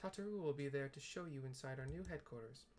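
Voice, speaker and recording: A man's voice: medium pitch, darkish tone, close to an everyday voice. Man reading as an earnest, formal woman leader. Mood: apologetic, instructing. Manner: measured, formal wording.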